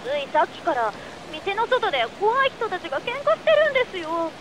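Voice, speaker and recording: An actress playing an exasperated young office secretary in a video game, complaining in a fussy, polite but pushy manner. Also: female voice, fairly quick, background noise. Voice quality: high-pitched, animated.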